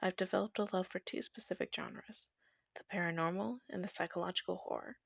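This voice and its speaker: Young woman narrator with a husky, creaky voice. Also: on the low side, fairly even intonation, fairly quick, no echo. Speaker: video essay narrator. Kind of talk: scripted personal video narration.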